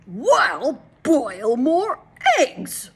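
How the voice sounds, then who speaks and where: A woman voicing a grumpy, blustering farmer in a storybook, barking his lines loudly. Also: medium pitch, lively intonation, a little slow, breathy edge, exaggerated voice. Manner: loud, barking, bossy.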